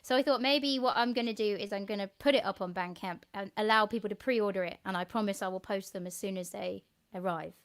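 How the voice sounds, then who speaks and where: A woman's voice: mid-range voice, quick pace, hardly any pauses. A woman musician chatting casually on a home live stream over a video call, rambling and giggling. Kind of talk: casual chat.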